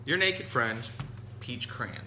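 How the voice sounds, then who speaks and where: A man's voice: mid-range voice, very animated, slow pace. A man voicing an embarrassed, panicky peach crayon from a picture book, whiny and pleading. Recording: roomy echo, some noise.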